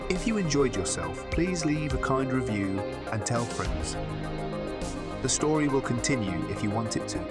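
A man's voice: mid-range voice, very animated, normal speed. A man giving a scripted, sentimental closing narration. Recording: loud music behind.

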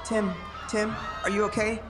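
A man's voice: sits high, little pitch movement, moderate pace, natural voice. A man playing an eager, worried convention attendee in a comic radio play, urgent and over-earnest. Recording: crowd din and music behind.

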